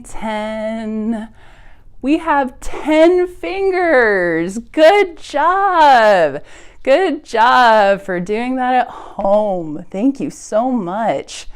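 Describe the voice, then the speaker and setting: A woman's voice: fairly high pitch, darkish tone, clean tone. A woman hosting a preschool storytime with songs, rhymes and reading, speaking in simple, encouraging phrases to small children. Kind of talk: preschool storytime with singing, rhymes and read-aloud.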